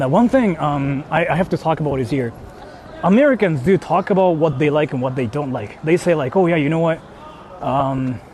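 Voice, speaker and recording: Japanese-accented man chatting, higher-pitched voice, street noise. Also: a little mellow. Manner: rambling, informal, blunt. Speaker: live streamer. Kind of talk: casual livestream chat, answering viewers. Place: outdoors on a city street. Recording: noisy outdoor street, traffic ambience. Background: urban ambience and vehicles.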